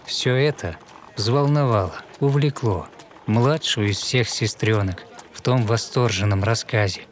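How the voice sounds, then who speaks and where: A man narrating a fairy tale in verse, with measured, rhythmic storytelling. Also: mid-range voice.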